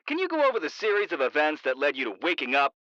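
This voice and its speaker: A man's voice: fairly high pitch, huge pitch swings, quick pace, bright, forceful tone, no echo, muffled recording, heavily acted. A man playing a showy radio host. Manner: showy, hyping the audience. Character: late-night radio talk show host.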